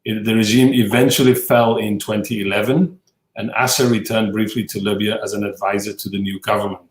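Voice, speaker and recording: A male podcast host introducing a film and then questioning his guest, with a halting delivery full of fillers. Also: lowish voice, steady tone, echoey room, rough recording.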